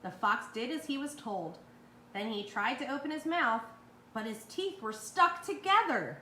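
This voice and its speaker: A woman's voice: on the high side, animated, unhurried, sharp tone. A woman reading a children's story aloud expressively as a storyteller. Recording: roomy, echoey with faint noise.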